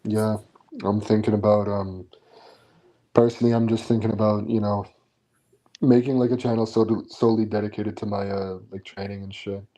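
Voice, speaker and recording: A man's voice: fairly low pitch, level tone, normal speed, dark tone, rough recording. A man chatting casually on a live stream, blunt and opinionated, often restarting his sentences. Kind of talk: casual chat.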